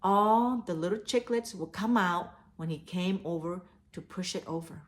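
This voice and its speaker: A woman reading and talking to children as a storyteller, with deliberate, emphatic phrasing. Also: on the low side. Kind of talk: children's read-aloud storytelling. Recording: echoey, roomy sound.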